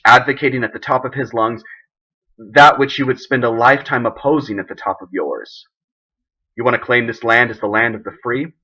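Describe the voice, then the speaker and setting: A man playing an embattled, idealistic president who gives an emphatic, deliberate, indignant speech in a dramatic monologue. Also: mid-range voice, pushed and bright, muffled recording. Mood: firm, indignant.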